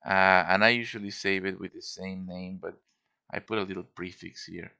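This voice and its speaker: A man's voice: sits low, flat delivery, moderate pace, vocal fry, bright, forceful tone, clean tone. A man giving a casual show-and-tell tutorial as a game modder, informal and thinking aloud as he works.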